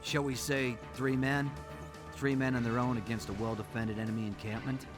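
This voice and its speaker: An actor playing a seasoned, sardonic special forces soldier in a video game cutscene, dry and unimpressed. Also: male voice, mid-range voice, little pitch movement, moderate pace, music in the background, lightly acted. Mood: skeptical.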